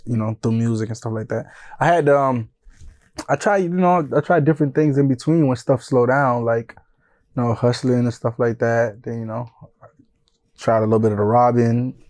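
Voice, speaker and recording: Guest: man, 30s, from Haiti via Florida, laid-back storyteller, even monotone, dry close sound. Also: medium pitch, moderate pace, dry recording. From born in Haiti, raised in Broward County, Florida. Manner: laid-back, often says "you know what I'm saying". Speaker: music manager and guest. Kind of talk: casual interview storytelling.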